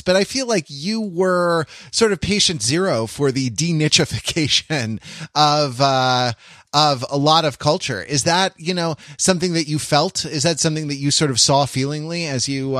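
A man's voice: on the high side, fairly bright tone, no echo, studio quality. A middle-aged man chatting casually as a podcast panelist, with a wry, joking manner. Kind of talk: casual chat.

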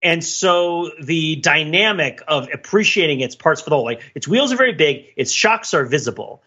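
Bright, slightly husky man talking analytically. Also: on the high side, lively intonation, moderate pace, dull audio. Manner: wordy, mock-academic, enumerates points. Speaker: podcast panelist. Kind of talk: casual analytical podcast discussion.

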